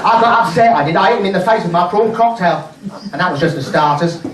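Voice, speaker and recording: A middle-aged pantomime dame played by a man delivers saucy stand-up as a self-pitying widow and nurse. The voice swoops up and down with deliberate comic pauses. It is heard at a distance from the microphone in a roomy live venue. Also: high voice, animated, heavy background noise. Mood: storytelling. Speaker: stand-up comedian. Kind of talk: stand-up comedy routine in pantomime dame character. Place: indoor charity show before a live audience.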